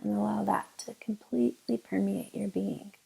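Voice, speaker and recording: A woman leading a guided meditation as a teacher, giving gentle, unhurried instructions with long pauses. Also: medium pitch, steady tone, creaky, slightly rough, rough recording.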